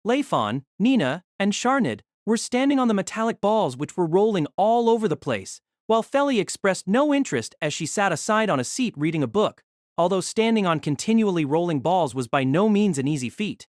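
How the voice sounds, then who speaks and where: A narrator telling a fan audio drama story in an expressive storytelling manner. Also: medium pitch, fairly quick, dry recording, clean studio sound.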